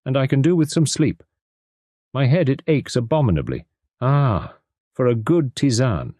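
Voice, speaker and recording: Fussy, excitable middle-aged detective, a man putting him on, pitched high, precise phrasing, dry audiobook read. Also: normal speed, no echo, lightly acted. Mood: contented.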